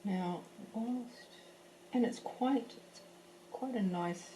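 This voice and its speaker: A woman's voice: fairly high pitch, slow, mellow, slight background noise. A woman teaching a live painting class from her home, chatting casually as she works and thinking aloud with fillers and restarts. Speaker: art teacher. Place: home in a French village.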